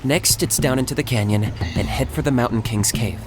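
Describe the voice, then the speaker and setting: An actor playing a cool young warrior guide in an anime game, calm, terse and matter-of-fact. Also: male voice, mid-range voice, moderate pace, loud music behind the voice, natural voice. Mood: focused.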